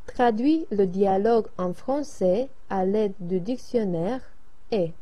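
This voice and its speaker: A woman slowly reading French lesson exercises aloud in an even, careful way. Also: medium pitch. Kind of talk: slow read-aloud of dialogues and exercises.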